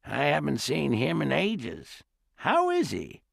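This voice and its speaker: A man doing a frail, gravelly old great-aunt. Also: a little high, huge pitch swings, no room sound, studio quality, pitched above the performer's own voice, exaggerated voice. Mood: wistful. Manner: soft, slow and reminiscing. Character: frail elderly great-aunt, wistful and sad. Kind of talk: audiobook character voice.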